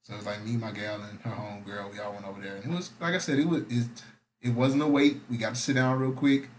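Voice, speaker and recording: A man's voice: mid-range voice, fairly even intonation, fast, slight background noise. A young man hosting a podcast in casual, slangy, profane chat. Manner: laid-back, slangy, profane. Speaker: podcast host.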